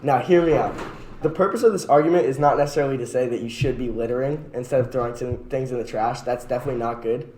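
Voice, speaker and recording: A man's voice: medium pitch, fast, darkish tone. A man co-hosting a podcast, arguing his opinions in casual debate among friends. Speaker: podcast co-host. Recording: echoey room with background noise.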